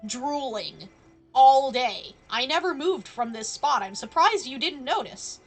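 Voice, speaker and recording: A woman's voice: sits high, lively intonation, measured pace. A woman streaming a game live with casual, playful and sardonic commentary. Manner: playful, sardonic asides to characters.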